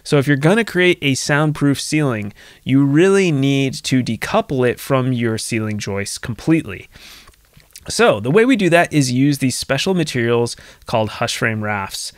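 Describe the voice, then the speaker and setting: A man's voice: mid-range voice, expressive, normal speed, dry recording. A man in his thirties with a North American accent, explaining conversationally as a tutorial host, close to a desk microphone in a home studio. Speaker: soundproofing instructor and video host. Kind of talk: instructional screen-share tutorial. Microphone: desk microphone close to the mouth.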